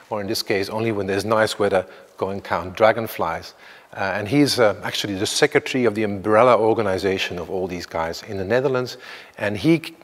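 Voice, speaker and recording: A Belgian man in his fifties giving a tentative, self-deprecating conference talk with many fillers and restarts. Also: on the low side. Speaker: academic speaker. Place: lecture hall. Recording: reverberant hall.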